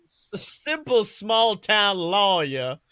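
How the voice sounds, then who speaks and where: A woman co-hosts a casual film review podcast in a close, dry recording. She talks in a mid-range voice, animated and blunt, swearing freely and joking as she goes. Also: medium pitch, slow pace, dry recording. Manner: animated, blunt, profane, jokey. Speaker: podcast co-host.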